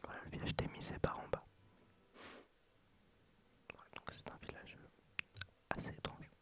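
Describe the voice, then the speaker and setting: A man with a head cold whispering a rambling, soft ASMR game playthrough close to the mic. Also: normal speed. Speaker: gameplay ASMR creator. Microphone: close ASMR microphone.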